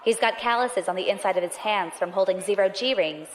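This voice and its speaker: An actress playing a brash young space pirate, quick, sarcastic and observant. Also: female voice, mid-range voice, a little hiss or hum, natural voice. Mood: cocky. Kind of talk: audio drama acting.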